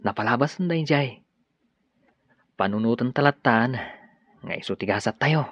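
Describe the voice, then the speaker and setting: A man's voice: medium pitch, normal speed, a bit bright, dry recording, lightly acted. A man with an Ilocano accent acting a proud, forgiving young husband in a radio drama. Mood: warm, reassuring. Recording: dull studio audio with music bed.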